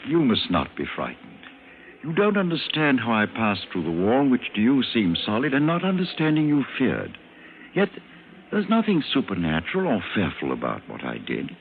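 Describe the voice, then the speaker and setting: Lofty superhuman doctor, sweeping intonation. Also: male voice, medium pitch, huge pitch swings. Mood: calm reassurance. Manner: lofty, didactic, deliberate. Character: superior evolved man, a calm, condescending, visionary doctor. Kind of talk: old-time radio drama acting. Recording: noisy, narrow-band old radio recording.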